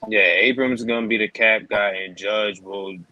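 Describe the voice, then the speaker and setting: A man with a deep voice, chatting laid-back and slangy on a sports stream. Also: steady tone, moderate pace, pushed and bright, dull audio, slight background noise. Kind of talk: casual sports stream chat.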